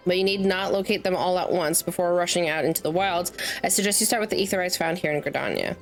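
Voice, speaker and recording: A woman's voice: fairly low pitch, fast. Chatty woman streaming over game music. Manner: chatty, self-interrupting, playful asides while snacking; reads game dialogue aloud, stumbling over fantasy names. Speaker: streamer, gamer. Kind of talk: casual let's play livestream commentary. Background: video game music.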